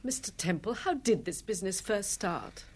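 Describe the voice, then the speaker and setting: A woman's voice: mid-range voice, animated, moderate pace, a little mellow, slightly rough. An actress playing a troubled married woman in a radio drama, earnest and hesitant as she confides. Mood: earnest, explaining.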